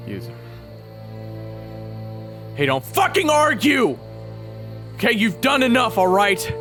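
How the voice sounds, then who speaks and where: A man voicing a brash, sarcastic young swordsman companion who swears freely, in an audio roleplay. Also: lowish voice. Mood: weary. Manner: sarcastic, foul-mouthed. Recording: loud game music behind.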